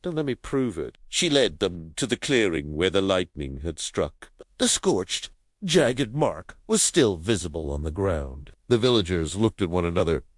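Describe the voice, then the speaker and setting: A woman storyteller reading a children's cartoon tale, slow and deliberate in pace. Her voice rises and falls dramatically from phrase to phrase. It is recorded dry and close, with no room sound at all. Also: extremely low pitch, sing-song, dry recording. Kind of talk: scripted story narration for children.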